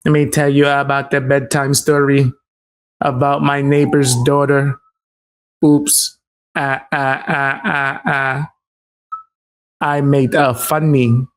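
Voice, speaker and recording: A man putting on a mocking, drawn-out imitation of an easily offended, creepy storytelling man. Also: mid-range voice, flat delivery, close to an everyday voice, rough recording. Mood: creepy, silly.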